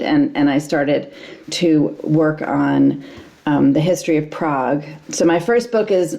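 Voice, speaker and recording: A woman historian in her fifties, answering interview questions in a measured, thoughtful way with frequent ums. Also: low-pitched, gravelly, warm tone. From United States. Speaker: historian guest.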